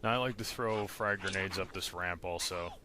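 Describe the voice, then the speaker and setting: Low-voiced man narrating a game walkthrough. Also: moderate pace, pushed and bright, slight background noise. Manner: plain step-by-step instructional.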